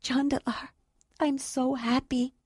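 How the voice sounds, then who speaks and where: Reader doing a teary young woman, voice pitched up, heartfelt, no room echo. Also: lively intonation, measured pace, darkish tone, clean studio sound. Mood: tearful. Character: emotional young woman, tender and tearful.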